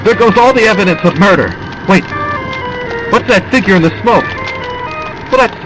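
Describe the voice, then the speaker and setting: A man's voice: high voice, expressive, moderate pace, music in the background, poor audio. A man playing an earnest, desperate survivor hunting a killer in an audio drama, dramatically thinking aloud. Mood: urgent, tense.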